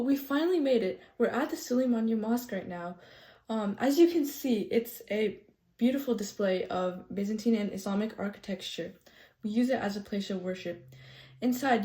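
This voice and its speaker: A young woman giving a scripted history presentation in character as a tour guide, polite and a little halting. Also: medium pitch, mellow. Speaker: student presenter playing a loyal Janissary tour guide.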